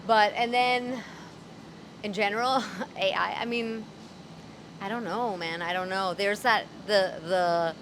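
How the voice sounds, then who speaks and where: A woman voice actor and comedian, guest in a casual street interview, talking candidly with dark humor and frequent laughter. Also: mid-range voice, fairly even intonation, takes it slowly, noisy background. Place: city street outdoors.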